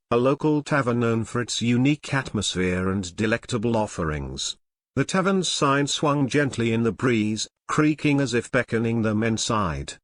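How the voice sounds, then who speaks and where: A man's voice: medium pitch, dry recording, clean studio sound. A man reading scripted narration for an audio drama.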